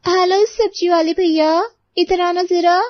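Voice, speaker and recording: A haughty rich neighbour lady played by a woman in a story, pitched high and recorded very dry. She shows off and talks down to everyone, snappish and boastful. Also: steady tone, a little slow, no room sound, highs cut off. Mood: bossy, contemptuous. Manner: condescending, showy.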